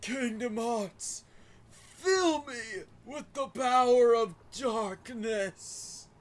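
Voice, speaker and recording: A man voicing a grandiose dark video game villain in a drawn-out, dramatic manner. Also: mid-range voice, a little hiss or hum, voice pushed up. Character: grandiose dark male villain invoking power.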